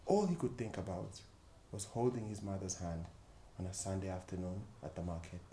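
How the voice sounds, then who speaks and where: Mellow, animated male storyteller in echoey room. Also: fairly low pitch. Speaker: volunteer storyteller at a children's book club. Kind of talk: expressive children's story reading. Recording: echoey room with faint background noise.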